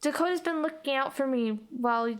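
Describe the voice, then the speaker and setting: A sweet little sister as a woman acts her out at the gaming table, high-voiced and eager. She is a young girl, excitable and earnest in manner. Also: fairly quick, natural voice. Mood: eager, shy.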